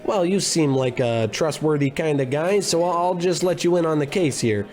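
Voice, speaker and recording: Casting: male actor as oblivious gumshoe, higher-pitched voice, grumbling and self-important, old-time radio detective spoof. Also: normal speed, darkish tone, lightly acted. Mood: blustery, oblivious. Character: bumbling, blustery, gluttonous male private investigator. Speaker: private detective in a radio play. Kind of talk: scripted comedy in old radio detective style.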